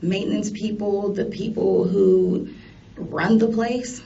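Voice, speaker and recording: A woman's voice: medium pitch, slow pace, warm tone. A woman being questioned by police as a suspect, answering with terse, defensive denials. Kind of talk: police interrogation. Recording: distant muffled interview recording, clear background noise.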